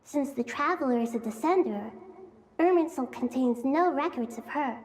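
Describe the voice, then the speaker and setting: Woman as a very high, childlike wise goddess. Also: a little mellow, lightly acted. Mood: thoughtful, concerned. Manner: polite, formal, measured. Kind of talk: video game voice acting. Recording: game music behind.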